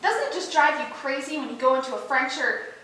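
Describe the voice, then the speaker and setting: A woman's voice: high voice, animated, a little fast, bright, forceful tone. A woman playing a vain, ditzy young actress with bubbly, emphatic chatter in a stage play rehearsal. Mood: emphatic, opinionated. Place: echoey indoor rehearsal room. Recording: very echoey room, distant mic, faint noise.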